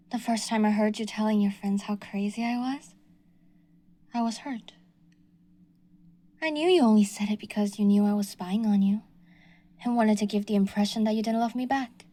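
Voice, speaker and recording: A woman's voice: medium pitch, normal speed, pauses often, warm tone, natural voice. A young woman playing an obsessive, delusional stalker in an audio roleplay, talking sweetly and menacingly and slipping into whispers. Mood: reminiscing.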